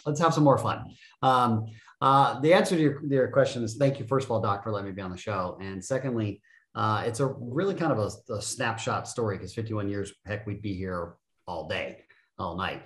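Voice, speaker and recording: Animated man in his fifties, roomy sound. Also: fairly low pitch, moderate pace. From United States. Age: 50s. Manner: animated, self-deprecating, laughs often. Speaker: podcast guest. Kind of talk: casual interview talk.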